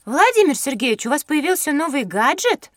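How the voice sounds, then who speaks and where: A woman's voice: sits high, very animated, fairly quick, dry recording, studio quality. A woman voicing a pert, teasing young schoolgirl, inquisitive and childlike, in a scripted children's audio drama. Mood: curious.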